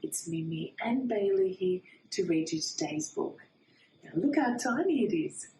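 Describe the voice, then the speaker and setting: A woman reading a bedtime storybook to young children in a sing-song way, with dramatic pauses before key words. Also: medium pitch, lively intonation, warm tone, slight background noise. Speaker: children's read-aloud host. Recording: roomy echo.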